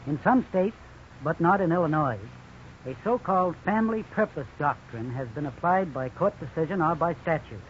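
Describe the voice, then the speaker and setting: A man's voice: a little high, animated, normal speed. A man delivering formal, explanatory scripted legal commentary as a radio counselor. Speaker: legal counselor, law school dean. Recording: muffled, hissy 1950s radio recording.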